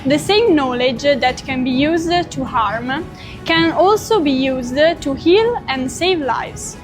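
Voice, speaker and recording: A woman's voice: high voice, lively intonation, slow, music in the background. A woman with an Italian accent reading scripted narration, carefully, with small hesitations. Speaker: student narrator.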